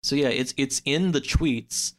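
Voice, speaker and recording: A man speaking as a podcast guest, discussing a book in a casual, halting, conversational way. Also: medium pitch, fairly bright tone.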